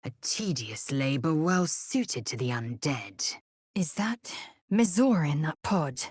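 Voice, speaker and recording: Fierce haughty alien warrior lady for a game, husky n slow, real dry close sound. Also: deep voice, big pitch swings, darkish tone, no room sound, exaggerated voice. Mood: measured, grave. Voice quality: husky, raspy. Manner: clipped, commanding, haughty, formal wording. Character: proud, fierce, imperious githyanki warrior woman. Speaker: video game character. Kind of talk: game voice acting, short scripted lines.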